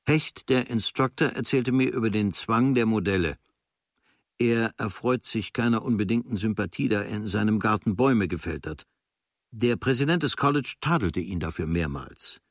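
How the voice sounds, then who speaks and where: Low-voiced man reading a diary, dry sound. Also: normal speed, dry recording. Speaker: reader of a travel diary. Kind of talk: scripted literary diary narration.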